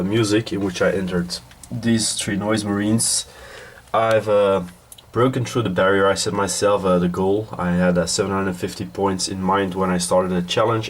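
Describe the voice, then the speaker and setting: A man from Belgium with a nasal voice from a cold, giving a casual, rambling hobby update in a halting, hesitant way. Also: low voice, a little hiss or hum. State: has a cold. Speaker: hobby video host.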